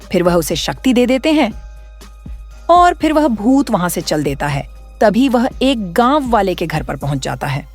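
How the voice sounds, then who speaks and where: Lively woman narrating a horror cartoon. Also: mid-range voice, normal speed, faint music behind. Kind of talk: scripted storytelling narration.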